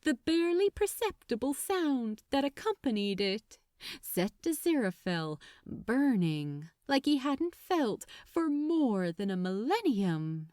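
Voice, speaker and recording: A woman reading a story aloud as a dramatic narrator, expressive and unhurried, lingering on her phrases. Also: a little high, no room sound, clean studio sound. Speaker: audiobook-style narrator of fan fiction.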